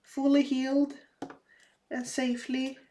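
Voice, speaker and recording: A woman talks casually as she crafts, her speech slow and unhurried. Her voice sits a little high and carries a slight rasp left over from a cold. She often restarts her sentences and fills the gaps with small hesitations. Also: flat delivery, dark tone, slight background noise. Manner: slow, halting, many fillers and restarts. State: slightly raspy, recovering from a cold. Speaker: crafting vlogger. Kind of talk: casual chat while crafting.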